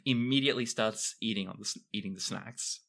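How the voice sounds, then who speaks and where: A man's voice: lowish voice, fairly quick, creaky, fairly bright tone. A man improvising game narration and joining in comedy banter. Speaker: game master and podcast performer.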